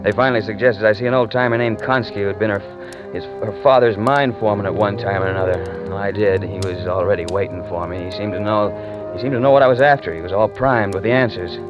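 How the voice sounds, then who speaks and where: Man playing hard-boiled investigator, low voice, wry, slangy, terse, muffled 1940s radio drama. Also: quick pace, natural voice. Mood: wry, weary narration. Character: world-weary hard-boiled male investigator in love, stubborn. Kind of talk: 1940s radio drama acting, first-person narration. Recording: muffled old radio recording, music behind.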